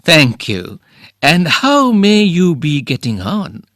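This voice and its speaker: A man's voice: a little high, wildly swooping pitch, slow pace, a bit bright, dry recording, clean studio sound, pitched above the performer's own voice, heavily acted. A man voicing a nervous, stammering young suitor who keeps stumbling over his words, reading a play aloud. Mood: flustered. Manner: stammering, flustered.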